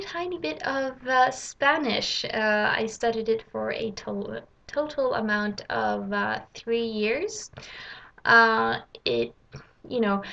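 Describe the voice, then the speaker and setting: A woman's voice: medium pitch, creaky, fairly bright tone. A woman, speaking hesitantly in short phrases in a casual personal vlog. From Sweden. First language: Swedish. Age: 20s. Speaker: vlogger. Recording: dull, lo-fi home recording.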